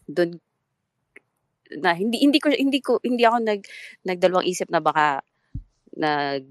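A Filipino woman in her thirties with a thick Filipino accent, telling her story with hesitant, halting speech and mixing English with Tagalog. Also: lowish voice, sing-song, dry recording, studio quality. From Philippines. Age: 30s. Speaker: guest seeking advice. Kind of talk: casual chat.

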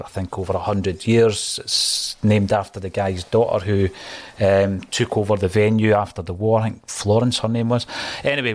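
A man hosting a football podcast, speaking in an emphatic, opinionated way. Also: fairly low pitch. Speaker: podcast host. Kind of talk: casual chat.

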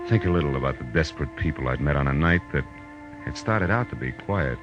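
A man's voice: a bass voice, expressive, quick pace. A man playing a hard-boiled, world-weary private eye in a 1940s radio detective drama, dry and laconic. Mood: reflective narration. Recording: dull old radio recording with music.

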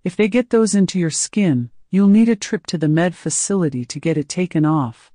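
A woman puts on a massive male alien slave keeper for an audiobook, measured and mockingly pleasant with menace underneath. The voice is close and dry, with no room around it. His words come slow and deliberate, savouring his cruelty. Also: on the low side, mellow, no room sound, close to an everyday voice. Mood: menacing. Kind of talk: audiobook character voice.

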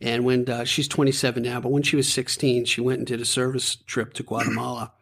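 Man, 50s, interviewer, chatty, rambling, mid pitch. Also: little pitch movement, brisk, gravelly, fairly bright tone. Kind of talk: casual interview.

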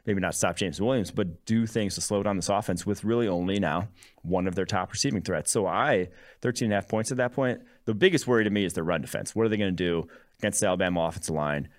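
A man's voice: low voice, fast. A man hosting a sports podcast, giving casual, conversational analysis of games.

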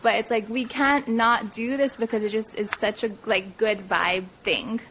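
A woman's voice: a little high, steady tone, normal speed, a bit bright, slight background noise, low-quality recording. A young woman co-hosting a podcast, chatting upbeat with many "like"s and frequent laughter. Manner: upbeat, lots of "like", laughs often. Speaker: podcast co-host. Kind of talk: casual podcast chat.

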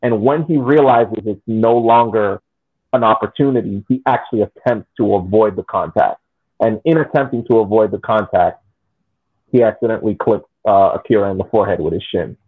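A podcast co-host calmly laying out his argument with hypothetical examples. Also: lowish voice, a little fast. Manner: methodical, argues through hypotheticals. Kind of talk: casual sports debate. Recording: husky voice over a tinny phone-like line.

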